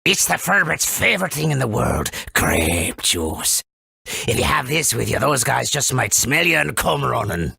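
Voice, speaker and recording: A man voicing an eccentric, excitable rustic guide in a video game, with a gravelly, rambling delivery. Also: medium pitch, no room sound, studio quality, exaggerated voice. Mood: encouraging. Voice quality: gravelly, raspy. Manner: halting, rambling, exclamatory. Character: eccentric rustic guide giving ominous warnings, forgetful and excitable.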